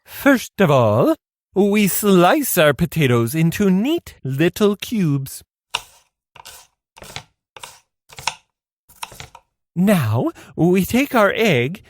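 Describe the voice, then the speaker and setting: A man's voice: high voice, sing-song, slow pace, slight background noise, heavily acted. A man playing a fussy, prim little gourmet judge in a comedy radio play, precise and theatrical. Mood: instructive.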